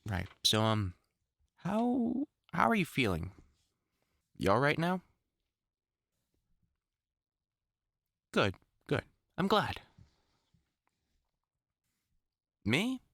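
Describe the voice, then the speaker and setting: A man's voice: mid-range voice, bright, forceful tone, no room sound. A man playing an older, experienced vampire hunter in an audio roleplay, talking informally and reassuringly like a mentor, with dry jokes and mild swearing. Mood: casual, caring. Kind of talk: intimate one-sided audio roleplay, conversational acting.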